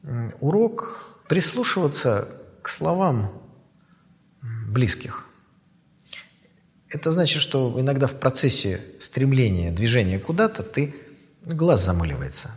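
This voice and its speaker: A man in his fifties, an author, giving thoughtful interview answers in a measured way with pauses and fillers. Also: mid-range voice, sing-song, long reverb tail. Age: 50s.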